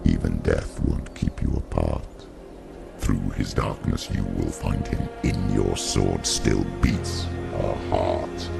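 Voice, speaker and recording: A taunting shadow creature from a dark video game, with an abyssally low voice thick with gravel. It speaks in ominous, deliberate phrases at a slow pace, over loud music. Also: male voice, heavily acted. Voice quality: deep, gravelly, growling. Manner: slow, deliberate, portentous verse-like delivery. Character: menacing male shadow of darkness, taunting and ominous. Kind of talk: dramatic video game acting.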